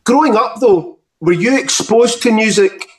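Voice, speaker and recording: Man, higher voice, friendly host asking questions. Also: lively intonation, unhurried, reverberant, recorded from a distance. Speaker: talk show host. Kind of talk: interview questions.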